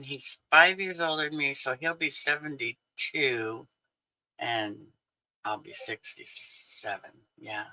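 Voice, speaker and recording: Slow-talking bright man in his sixties. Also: mid-range voice, low-quality recording. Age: 60s. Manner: chatty, laughs often, answers viewers. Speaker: livestream host. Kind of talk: casual livestream chat.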